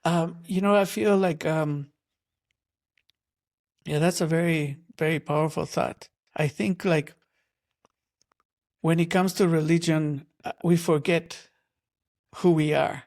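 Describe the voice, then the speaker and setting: A man from Guatemala who is an artist and a guest in an interview. He talks in a slow, reflective way, searching for words with many pauses. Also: a little high, steady tone, darkish tone.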